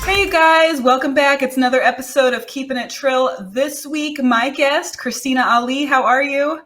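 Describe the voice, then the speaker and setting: A woman hosting a casual podcast interview over a video call, upbeat and quick to laugh. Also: sits high, fairly bright tone, soft background music. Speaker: podcast host.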